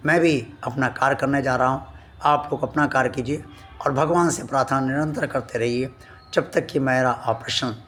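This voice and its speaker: A man hosting a devotional channel, speaking to his viewers slowly and earnestly, his voice still weak from a sore throat. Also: mid-range voice. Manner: slow, earnest and devout, addressing viewers formally. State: recovering from a sore throat, voice not at full strength.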